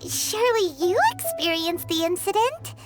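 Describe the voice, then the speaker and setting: A woman voicing a small, childlike, excitable fairy companion in a video game, with a squeaky voice. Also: normal speed. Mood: chatty, curious. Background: loud game music.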